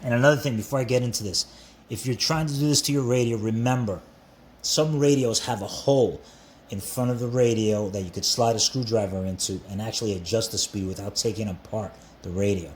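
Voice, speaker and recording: A low-pitched male voice with clear background noise around it. He hosts a casual repair tutorial indoors, speaking informally and often repeating phrases as he explains each step. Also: fairly quick. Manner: informal, repeats himself, talks viewer through steps. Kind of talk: casual hands-on how-to narration. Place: indoors at a workbench.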